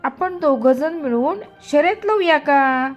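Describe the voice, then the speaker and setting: A boastful young male hare from a children's tale, acted out by a woman storyteller. He teases and gloats in an unhurried delivery. Music plays behind the voice. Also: high-pitched, lively intonation, voice pushed up. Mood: eager challenge. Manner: teasing, giggling boasts.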